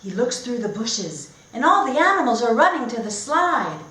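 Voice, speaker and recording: A woman teacher reading a storybook aloud as a lesson for young learners, speaking in simple, deliberate phrases with pauses and questions to her listeners. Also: a little high, very animated, fairly bright tone. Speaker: teacher demonstrating read-aloud techniques. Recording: echoey room with clear background noise.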